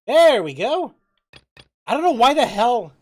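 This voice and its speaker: A streamer giving casual gameplay commentary, excitable and joking as they react to game dialogue. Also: mid-range voice, unhurried. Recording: game music behind the voice.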